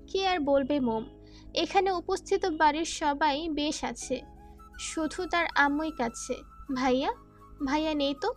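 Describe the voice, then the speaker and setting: High-pitched woman narrating a story. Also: measured pace. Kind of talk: scripted dramatic story narration. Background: background music.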